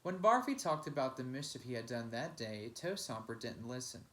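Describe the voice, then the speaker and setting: A man's voice: medium pitch, lively intonation, moderate pace, fairly bright tone. A man reading a children's picture book aloud as a teacher, with dramatic, emphatic narration. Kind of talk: expressive storybook narration.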